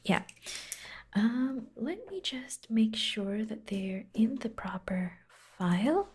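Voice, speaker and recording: A woman playing a caring, teasing secretary in an ASMR roleplay, speaking softly and gently, often dropping to a whisper. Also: fairly high pitch, very animated, slightly rough, exaggerated voice. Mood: helpful, soft. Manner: slow, gentle, playful, intimate. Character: caring, teasing, devoted young female secretary fussing over her boss. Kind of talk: soft-spoken ASMR roleplay.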